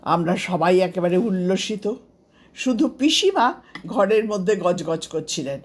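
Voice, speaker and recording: A woman with an Indian Bengali accent narrating a scripted story of memories in an expressive, unhurried storybook manner. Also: on the low side. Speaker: author narrating her own story.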